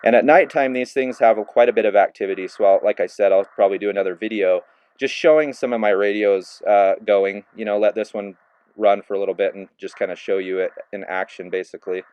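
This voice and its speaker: A man giving a relaxed, casual hands-on review of a handheld radio, narrating each step with plenty of fillers as he works the controls. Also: lowish voice, fast, clear, resonant tone, dull audio. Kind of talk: casual hands-on product demo.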